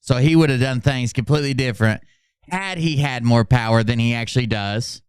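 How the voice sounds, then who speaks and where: A man's voice: mid-range voice, a little fast, clean tone, no room sound, studio quality. A man hosting a commentary show, speaking informally and sarcastically and swearing at times, in a mocking style. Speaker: host of a commentary channel. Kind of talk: mocking commentary with dramatic read-outs.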